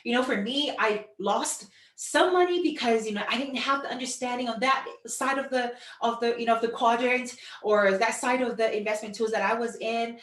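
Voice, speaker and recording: A woman from Samoa giving an informal online business presentation with personal storytelling, in a halting, repetitive way full of "you know". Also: fairly high pitch. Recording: echoey room, distant microphone.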